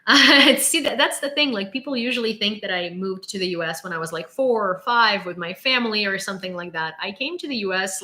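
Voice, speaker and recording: A woman's voice: lowish voice, sharp tone. A Ukrainian-born woman in her late twenties with a near-American sound, hosting a live news stream and chatting sassily with viewers. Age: late 20s. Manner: chatty, sassy, quick asides to chat.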